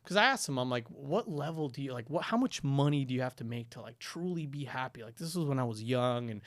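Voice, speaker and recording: A man's voice: mid-range voice, fast, no room sound. A young man from Ecuador, a podcast guest telling stories casually with lots of "like" and "dude". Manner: animated storytelling, frequent "dude" and "like", many restarts.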